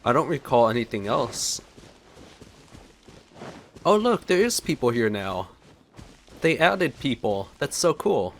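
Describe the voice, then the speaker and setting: A man's voice: medium pitch, big pitch swings, slow, gravelly, long pauses, a little hiss or hum. A young man giving casual, easygoing let's play commentary with a playful, sarcastic touch.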